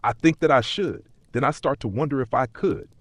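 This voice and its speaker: A man playing a weary, doubtful corporate worker in a dark fantasy audio story, plain-spoken and skeptical. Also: mid-range voice, brisk, no echo, pitched above the performer's own voice, close to an everyday voice. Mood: self-doubting.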